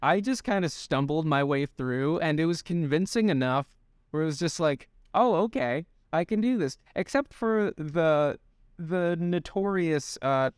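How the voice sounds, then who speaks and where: A young man co-hosting a casual podcast, animated and swearing freely, often restarting sentences. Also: on the high side, clean tone, dry recording, clean studio sound. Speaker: podcast co-host. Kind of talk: casual chat.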